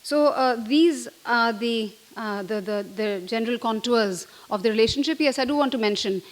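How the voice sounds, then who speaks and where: A woman's voice: fairly high pitch, moderate pace. A middle-aged woman from India, giving an unscripted briefing as an ambassador, hesitant, with many fillers and restarts. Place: conference room.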